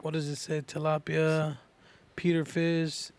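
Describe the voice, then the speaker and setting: A man's voice: on the high side, completely flat delivery, unhurried, clean tone. A man chatting casually on a podcast, slangy and often questioning. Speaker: podcast co-host.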